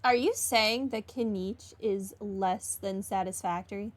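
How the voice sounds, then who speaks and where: A woman's voice: mid-range voice, unhurried. A woman game streamer giving casual playthrough commentary in a dry, wry manner.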